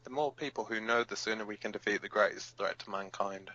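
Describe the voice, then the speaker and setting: Man playing blunt, foul-mouthed resistance fighter, sharp-edged. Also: lowish voice. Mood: menacing. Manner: blunt, profane, halting. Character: gruff, foul-mouthed battle-scarred male resistance fighter co-hosting pirate radio. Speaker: resistance radio co-host. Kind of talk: audio drama as underground radio broadcast. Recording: dull lo-fi sound.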